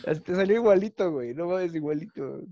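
A man's voice: high-pitched, animated, fast talker, warm tone, dry recording. A man bantering with friends, teasing and egging them on. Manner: laughing, teasing, eggs others on. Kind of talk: casual banter among friends. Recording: dull lo-fi audio.

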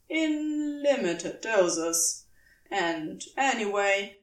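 A woman with a fairly high voice narrates a story in an expressive, strongly melodic way. She takes her time, pausing deliberately between phrases. She sounds a little way back from the microphone. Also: distant mic. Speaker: podfic narrator. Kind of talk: scripted narration, audiobook reading of fiction.

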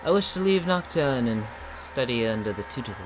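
A man's voice: mid-range voice, lively intonation, brisk, music in the background, natural voice. A man playing a bold, loyal and ambitious young apprentice healer in a fantasy audio drama, speaking with earnest expression. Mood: frustrated yearning.

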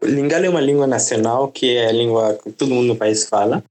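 A man from the Democratic Republic of the Congo, a native French speaker, explaining at length in a relaxed conversational interview. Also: medium pitch, fast talker. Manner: relaxed, explanatory, often adds "né?" and "entende?". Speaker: podcast guest.

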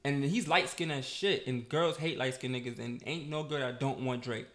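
A man's voice: mid-range voice, moderate pace, fairly bright tone. A young man from the United States co-hosting a casual chat, blunt and teasing in a laid-back way.

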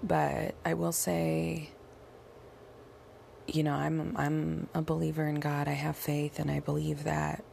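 A low-voiced woman in her thirties speaks with a hoarse, heavily gravelly rasp from a bout of bronchitis. She talks in a halting, casual way, slowing down to stress her points. A faint hiss sits under her voice. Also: monotone, darkish tone. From United States. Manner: halting, frequent ums, slows to stress points. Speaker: podcast host. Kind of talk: casual personal update.

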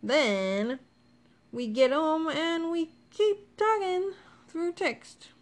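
Woman podcast co-host, high voice, talkative, quick to protest. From Ohio, USA. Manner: chatty, defensive, laughs often. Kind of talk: casual couple chat.

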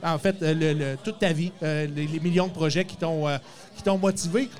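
A man's voice: fairly high pitch, fairly even intonation, fairly quick. A man with a Quebec French accent hosting a podcast, asking questions and complimenting his guest. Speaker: podcast host. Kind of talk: casual interview.

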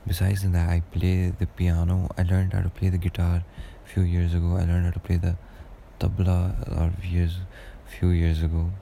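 A young man with a deep voice, rambling through a casual solo podcast monologue with lots of hesitations. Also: completely flat delivery, normal speed, vocal fry, few pauses, dark tone, a little hiss or hum. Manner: rambling and hesitant, many fillers and restarts. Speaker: podcast host.

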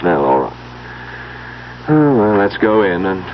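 An actor playing a wry, world-weary older stepbrother with a droll theatrical manner in a 1970s radio drama. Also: male voice, low voice, sing-song, slow, heavily acted. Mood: wry, wistful. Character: sardonic, world-weary older stepbrother, middle-aged heir. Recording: dull, hissy 1970s radio recording.

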